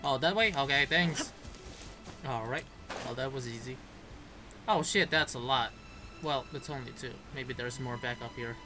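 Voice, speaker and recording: A man's voice: mid-range voice, moderate pace, pauses often. A man giving casual game commentary, reacting informally and muttering to himself as he plays. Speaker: gamer playing and reacting. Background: game music.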